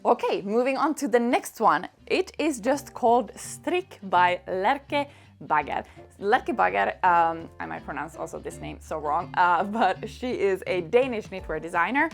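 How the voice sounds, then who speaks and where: Young woman, Swedish first language, casual vlogger, middle pitch, bubbly and chatty, faint music underneath. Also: animated, a little slow, creaky. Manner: chatty, enthusiastic, many fillers and laughs. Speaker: knitting vlogger. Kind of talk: casual chat.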